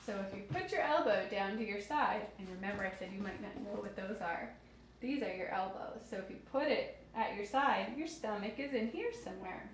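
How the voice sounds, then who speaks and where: A woman teacher reads aloud to young children in an expressive way. She explains simply and asks questions as she goes. Her voice sits at a middle pitch, recorded in a strongly echoing room with faint noise. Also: a little fast. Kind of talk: children's read-aloud lesson with asides.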